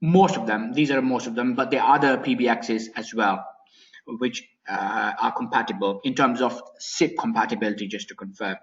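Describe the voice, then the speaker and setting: Guy doing a techy webinar talk, medium pitch, keeps restarting, muffled lo-fi audio. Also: steady tone, moderate pace. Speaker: webinar presenter. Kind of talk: informal technical product presentation.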